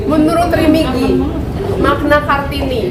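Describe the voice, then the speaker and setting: A woman's voice: high voice, steady tone, unhurried. A woman leading a women's community, speaking to the press at an event in a chatty, playful, speechmaking way. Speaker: arisan community leader. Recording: echoey, distant, loud crowd noise.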